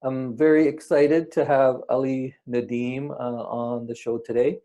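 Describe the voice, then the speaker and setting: A man's voice: medium pitch, level tone, a little slow, mellow, dull audio. A man hosting an interview podcast, speaking with frequent hesitations and fillers. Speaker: podcast host. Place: remote call.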